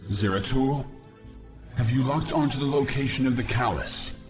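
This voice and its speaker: A man's voice: medium pitch, moderate pace, natural voice. A man playing a proud, eager young alien warrior in a video game, speaking in formal, earnest declarations. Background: music.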